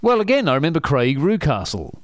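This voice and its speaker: A man's voice: on the high side, huge pitch swings, brisk, dry recording, studio quality. A middle-aged man telling stories in casual conversation, self-deprecating and laughing often, with many restarts.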